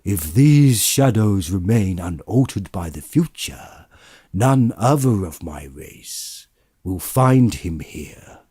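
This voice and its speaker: Man as stern storybook spirit, slow, solemn, emphatic, widely swooping, righteous rebuke. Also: deep voice, expressive, mellow, slightly rough, no room sound, clean studio sound, exaggerated voice. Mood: solemn, grave. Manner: solemn, drawn-out, emphatic declaiming. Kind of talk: dramatized audiobook of a Victorian ghost story.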